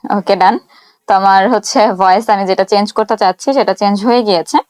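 A woman presenting a casual step-by-step app tutorial, explaining each action haltingly as she does it. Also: mid-range voice, steady tone, a little mellow, clean tone, dry recording.

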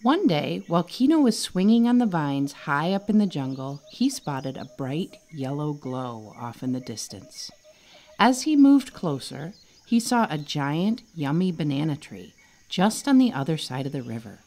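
Female children's storyteller: slightly low register, hugely varied pitch, expressive, dramatic narration. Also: measured pace. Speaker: author reading own storybook.

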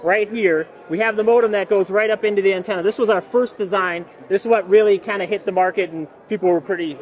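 A man in his forties giving a casual, keen product pitch as a company representative, explaining as he goes. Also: fairly even intonation, quick pace. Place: busy indoor expo hall booth.

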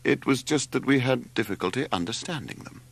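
Man voicing a polite, firm mother, raised pitch. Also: animated, brisk, creaky, slightly rough. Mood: polite, reassuring. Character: genteel, firm English mother, politely untruthful.

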